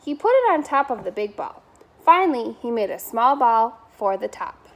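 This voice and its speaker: A woman's voice: a little high, sing-song, moderate pace. A woman reading a children's bedtime story aloud as a member of school staff.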